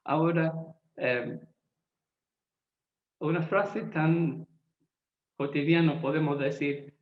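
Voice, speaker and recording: A man who is not a native Spanish speaker, a Bible translator giving a lecture-style talk, deliberate and often pausing to search for words. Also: a little high, fairly even intonation. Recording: echoey, tinny phone-like sound.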